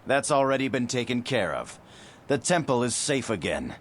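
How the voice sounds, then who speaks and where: An actor playing a confident, calm hero and escort in a video game cutscene. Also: male voice, mid-range voice, normal speed, a little hiss or hum, close to an everyday voice. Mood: assured. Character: confident heroic male escort, calm and assured.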